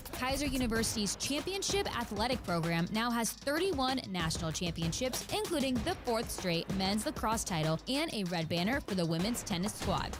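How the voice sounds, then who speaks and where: A woman announces a scripted promotion with very lively intonation at a medium pitch. Music plays clearly behind her voice. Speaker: commercial announcer.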